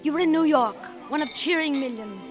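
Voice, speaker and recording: An actress in a 1940s radio drama playing a sharp-tongued, sarcastic reporter, dry, tart and quick-witted. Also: female voice, high-pitched, lightly acted. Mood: brisk, bossy. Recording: 1940s radio broadcast, music behind.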